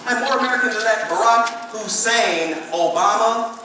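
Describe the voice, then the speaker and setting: A man's voice: sits high, normal speed, lightly acted. A man on stage playing a pompous, showy congressional candidate who styles himself an American patriot, recorded in an echoey hall. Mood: indignant. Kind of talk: live stage play acting. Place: theater stage, audience behind. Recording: echoey hall, distant mic, noisy audience.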